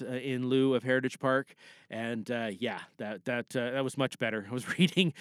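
A man's voice: mid-range voice, no room sound, clean studio sound. A man giving a casual, chatty, unscripted recap as a podcast host, rambling from one point to the next.

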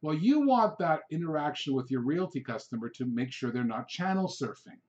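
A man's voice: a little high, sing-song, moderate pace, darkish tone. A man, a native Hungarian speaker, hosting an informal solo coaching podcast, talking in an emphatic, rambling way and repeating himself to drive points home. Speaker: podcast host, real estate sales coach. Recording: dull, lo-fi podcast recording.

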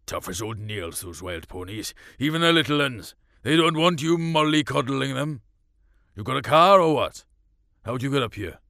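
Man doing a gruff, hot-tempered farmer dad. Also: medium pitch, animated, normal speed, sharp tone, no echo, clean studio sound. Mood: gruff dismissive. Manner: blunt, scolding.

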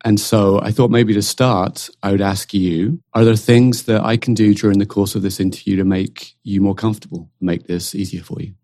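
A British man hosting a podcast, reading a scripted intro and then interviewing in a measured, calm way. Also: on the low side, dry recording, studio quality. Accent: British English. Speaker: podcast host.

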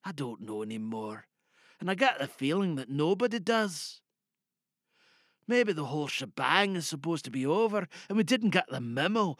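Audio drama: war-weary young soldier, acted by a man, bitter, musing quietly, swinging pitch. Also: dry recording, studio quality. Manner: hushed, confiding, musing.

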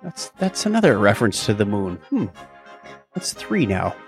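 A man co-hosting a podcast in casual, joking banter, playful and quick with quips and asides. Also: fairly low pitch, big pitch swings, creaky, soft background music. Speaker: podcast co-host.

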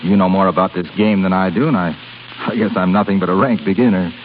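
A lowish-voiced young man of honest pride acted by a man speaks plainly and a little stiffly, as in a 1930s radio romance. His delivery is sincere and halting, over a dull recording with faint hiss. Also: fairly even intonation, lightly acted. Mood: humbled, sincere. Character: earnest, proud, plain-spoken younger man in love.

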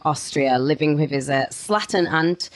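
A woman's voice: deep voice, unhurried. A woman co-hosting a true-crime podcast, telling the story with playful asides. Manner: laughs often, playful asides. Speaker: podcast co-host.

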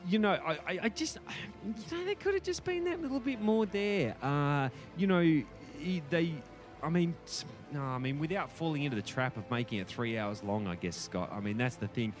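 Male podcast co-host for casual movie chat, slightly high voice, thoughtful and rambling, faint music underneath. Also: expressive, normal speed. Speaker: podcast co-host reviewing films.

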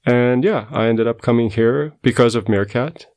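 Portuguese-accented guy, middle-aged, kinda gravelly, explains stuff slowly and carefully. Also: medium pitch, darkish tone. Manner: measured, explanatory, self-correcting. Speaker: guest scientist. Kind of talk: interview answers, storytelling.

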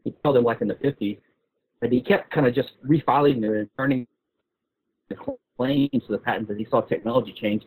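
A man's voice: medium pitch, brisk, a little mellow, breathy edge. A man explaining as a guest expert in an informal discussion, often repeating and rephrasing his phrases. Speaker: guest expert on patent law. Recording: roomy, phone-like call audio.

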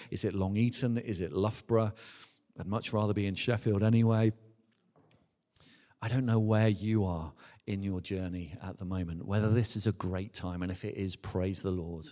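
A low-pitched man preaches to a congregation as a minister. He speaks earnestly and emphatically, repeating phrases for weight and posing rhetorical questions. His voice rings out with long reverb in a large church space. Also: darkish tone. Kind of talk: sermon to a congregation. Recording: reverberant hall with long echo.

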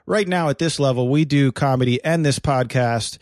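A man's voice: mid-range voice, moderate pace, a little mellow, dry recording, clean studio sound. A man co-hosting a casual comedy podcast from his apartment, rambling through fillers and restarts with crude jokes. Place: apartment room.

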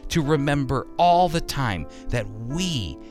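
A man's voice: medium pitch, sing-song, slow pace, darkish tone, faint music behind. A man giving earnest, emphatic Bible teaching in the style of a sermon, using rhetorical questions. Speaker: Bible teacher and preacher on a religious programme.

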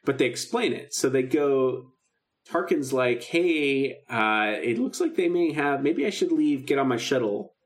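A man's voice: medium pitch, lively intonation, measured pace. Guy podcast co-host geeking out, just casually chatting through a recap. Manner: enthusiastic, geeky fan talk. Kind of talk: casual chat, recapping a radio drama.

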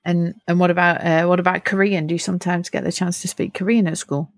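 A woman's voice: fairly low pitch, flat delivery, fast, no echo, clean studio sound. A middle-aged woman hosting a podcast, patiently asking a child simple, encouraging follow-up questions. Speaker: podcast host interviewing a child. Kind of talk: friendly interview questions.

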